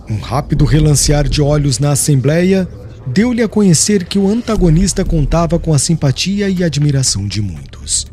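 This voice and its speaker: A man's voice: a little high, darkish tone. A man reading a solemn, dramatic audiobook narration.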